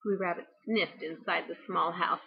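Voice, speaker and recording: A woman's voice: fairly low pitch, steady tone, normal speed, vocal fry, breathy edge, highs cut off. An older woman, a grandmother, reading a storybook to a child with casual asides.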